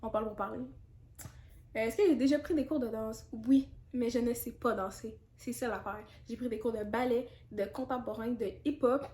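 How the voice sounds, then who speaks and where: A woman's voice: a little high, steady tone, moderate pace, mellow, echoey room. A woman in her twenties from Canada with a Quebec French accent, chatting candidly through a casual Q&A vlog with lots of fillers and asides. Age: 20s. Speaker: YouTuber.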